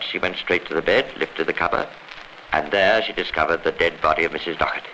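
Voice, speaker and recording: A grave, authoritative narrator tells a radio crime story with formal drama. The voice is sharp and forceful, on an old recording with slight background noise. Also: male voice, lowish voice, rough recording. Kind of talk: 1950s radio scripted narration.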